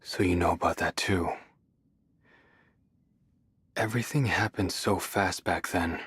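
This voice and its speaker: A man voicing a guilt-ridden, guarded young man, husky and hesitant, in an English anime game. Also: sits low, measured pace, fairly bright tone, no echo, heavily acted. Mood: remorseful. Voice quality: husky, breathy. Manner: hesitant, subdued, serious.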